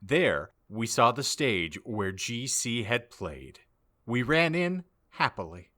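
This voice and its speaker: A man's voice: medium pitch, very animated, takes it slowly, pushed and bright. A man reading aloud as a podcast host, narrating a comic audiobook in a mock-serious, theatrical way.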